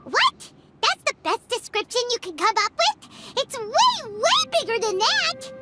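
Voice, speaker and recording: A woman's voice: very high-pitched, big pitch swings, a little slow, full character voice. A woman voicing a tiny, childlike fairy companion in a video game, exasperated and emphatic. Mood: indignant. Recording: loud game music behind the voice.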